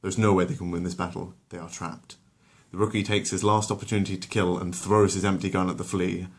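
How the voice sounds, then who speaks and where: A man with a low voice tells a bedtime story. He narrates with drama and drops into casual, conversational asides. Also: flat delivery, a little fast, husky. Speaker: storyteller and channel host.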